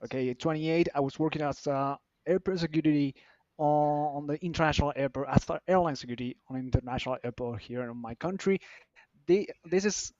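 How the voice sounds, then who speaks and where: A man's voice: a little high, darkish tone, no room sound, lo-fi sound. Man in his thirties telling his story. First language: Spanish. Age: 30s. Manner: earnest, halting, with restarts. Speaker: team member sharing his story. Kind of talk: personal story on a live stream.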